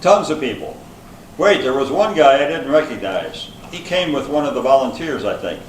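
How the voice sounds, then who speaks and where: A man's voice: mid-range voice, big pitch swings, moderate pace, close to an everyday voice. A man reading the part of an earnest party guest under questioning in an amateur readers theater, plain and conversational. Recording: echoey room from far off, faint noise.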